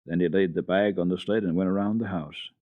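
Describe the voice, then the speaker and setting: Man, low pitch, dramatic storybook narration, dry dull archival radio sound. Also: steady tone, fairly quick, dry recording. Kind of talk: dramatic children's story reading.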